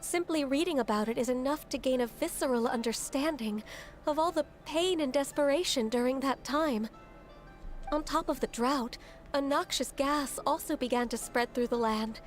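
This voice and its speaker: Woman doing a soft polite young secretary type for a game, high voice, careful and sincere. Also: moderate pace, soft background music, natural voice. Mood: earnest. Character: gentle, earnest, dutiful young secretary woman.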